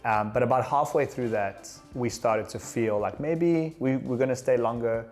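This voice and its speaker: A man reflecting in a measured way, with frequent ums. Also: medium pitch, darkish tone, soft background music.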